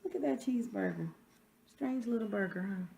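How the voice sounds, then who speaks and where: A woman's voice: medium pitch, lively intonation, fairly quick, gravelly, mellow, slight background noise. A woman teacher chatting to children during a read-aloud, often putting questions to her listeners. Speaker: sixth grade teacher reading aloud.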